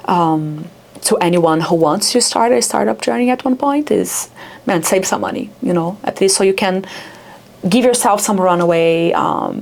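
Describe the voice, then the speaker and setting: A woman's voice: fairly low pitch, creaky, darkish tone. A young adult woman from Rio de Janeiro with a Brazilian accent, speaking as a startup founder in a casual interview, with lots of fillers like "like" and "yeah". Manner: chatty, many fillers like "like" and "yeah".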